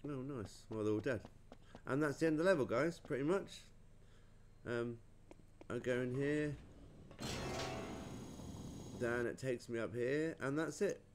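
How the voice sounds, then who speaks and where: A man's voice: medium pitch, unhurried, pauses often. A man giving casual, chatty commentary as he plays through a video game. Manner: chatty, informal. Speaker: gamer, playthrough host. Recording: noisy home game commentary recording.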